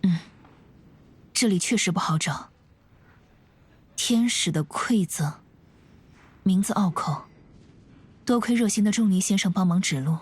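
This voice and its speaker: A woman playing a quiet, aloof, literal-minded young woman in a video game, soft and detached, in sparse phrases. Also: mid-range voice, measured pace, pauses often, breathy edge. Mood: calm, detached. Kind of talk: video game cutscene acting.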